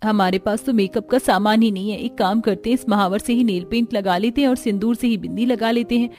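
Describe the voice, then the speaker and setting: A woman's voice: fairly high pitch, fairly quick, a little mellow, natural voice. A woman voicing a poor young bride in a cartoon story, speaking plaintively. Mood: resigned. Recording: music under the voice.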